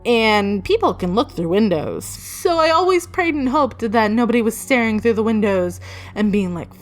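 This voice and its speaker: Female storyteller, slightly high voice, chatty and informal with suspenseful dramatic pauses, faint music underneath. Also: lively intonation. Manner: casually sweary. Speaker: storyteller, video creator. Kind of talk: casual personal storytelling.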